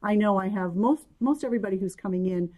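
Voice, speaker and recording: A New woman, slightly hoarse, co-hosting a casual chat about her yarn shop, rambling along with fillers. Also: medium pitch, fast, mellow. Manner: chatty, rambling with fillers and laughs. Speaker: yarn shop owner and podcast co-host.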